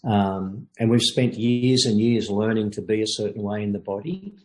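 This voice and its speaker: An older man coaching a group in a webinar, talking in a measured, thoughtful way with frequent pauses. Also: lowish voice, darkish tone, rough recording. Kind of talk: informal lecture and Q&A.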